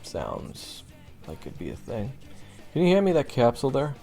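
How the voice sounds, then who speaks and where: A man's voice: mid-range voice, huge pitch swings, moderate pace, creaky, mellow. A man playing a cocky, laid-back young college student in an audio roleplay, talking in a slangy, teasing, offhand way. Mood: teasing, relaxed. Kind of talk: audio roleplay acting, addressing the listener. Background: guitar music.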